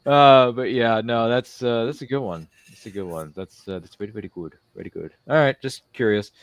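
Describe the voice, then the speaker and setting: A man with a slightly low-pitched voice hosts a casual interview show. He rambles along chattily, dropping in quotes and small impressions. The sound is dry, with very little room in it. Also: brisk, dry recording. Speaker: show host.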